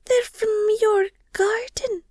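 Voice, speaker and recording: A woman playing an affectionate, nerdy werewolf girlfriend in an intimate audio roleplay, soft and breathy, often near a whisper, speaking tenderly. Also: extremely high pitch, steady tone, dry recording, clean studio sound. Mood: excited, proud. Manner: gushing, tender, hesitant with pauses and sighs.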